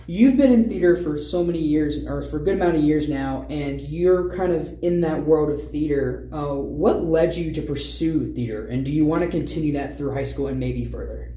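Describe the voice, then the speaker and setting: A man's voice: fairly high pitch, normal speed, few pauses, mellow. A man hosting a podcast, interviewing guests in a conversational way. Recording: roomy echo, background noise.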